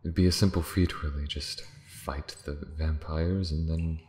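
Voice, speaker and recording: A man voicing a proud, lonely dragon in an ASMR fantasy roleplay, speaking formally and musingly with dramatic pauses and hushed drops. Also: steady tone, reverberant. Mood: hesitant, resigned. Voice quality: very deep, creaky. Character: lonely, proud male dragon, last survivor, wistful.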